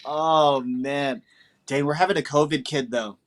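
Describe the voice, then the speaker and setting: A man co-hosting a podcast, chatting in a relaxed, slangy way and reacting quickly to his co-host. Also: fairly high pitch. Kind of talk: casual chat.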